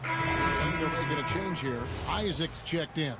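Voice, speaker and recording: A man performing as an excited play-by-play basketball announcer in a video game, calling the action. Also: fairly high pitch, measured pace, exaggerated voice. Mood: focused, animated. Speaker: play-by-play commentator. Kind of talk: sports play-by-play commentary. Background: music, bouncing basketball, dunks.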